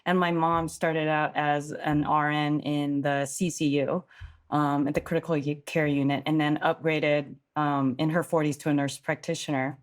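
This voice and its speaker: A woman's voice: low-pitched, level tone, normal speed. A woman from California, an actor being interviewed, who answers in a reflective way and explains things at length. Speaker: actor guest.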